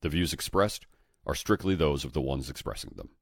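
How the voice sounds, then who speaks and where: A man hosting a podcast, reading a measured, scripted introduction. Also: a bass voice, creaky, no echo, studio quality. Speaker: podcast host.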